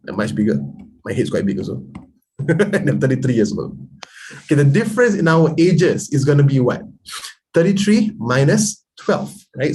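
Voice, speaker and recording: A man, teaching a lively online math class and quizzing his students as he goes. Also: medium pitch, a little hiss or hum, rough recording. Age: 30s. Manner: lively, chatty teaching with quiz questions to students. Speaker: math tutor. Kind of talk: online lesson.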